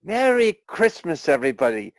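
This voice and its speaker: A man playing a gentle, affectionate father in a radio play. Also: a little high, sing-song, moderate pace. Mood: warm, fond.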